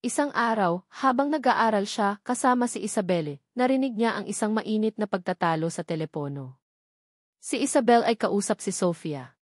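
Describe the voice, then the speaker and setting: A woman narrates a scripted dramatic story. Her voice sits at an average pitch for a woman. The recording is very dry, with no audible room around her. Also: lively intonation, normal speed, dry recording.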